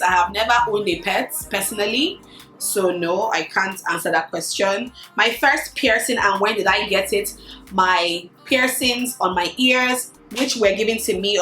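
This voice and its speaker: A woman's voice: mid-range voice, sharp tone. A woman in her thirties with a Nigerian accent, chatting casually to her viewers, often repeating words and laughing. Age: 30s. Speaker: vlogger. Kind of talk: casual chat answering a tag. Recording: echoey room with faint music.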